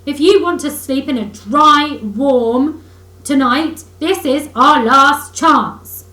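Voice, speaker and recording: A woman's voice: high-pitched, takes it slowly, sharp tone, voice pushed up, full character voice. A woman voicing an eager, emphatic male rat from a children's storybook, kindly but bossy and impatient. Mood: impatient, urgent. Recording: roomy echo, faint background noise.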